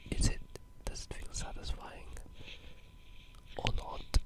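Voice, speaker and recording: A person speaking in a breathy, unvoiced whisper, casually discussing games in an ASMR style with a hushed, halting delivery. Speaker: ASMR gaming video creator.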